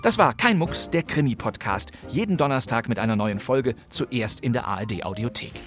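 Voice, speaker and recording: A man's voice: mid-range voice, lively intonation, normal speed, music in the background. A man with pronunciation hosting a scripted radio podcast in a wry, emphatic way. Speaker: podcast host.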